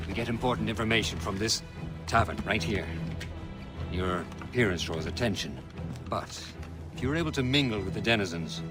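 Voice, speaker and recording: A man playing an earnest, weary veteran rebel leader in a video game, speaking in a measured, oratorical way. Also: lowish voice, natural voice. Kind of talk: video game cutscene acting. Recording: loud background music.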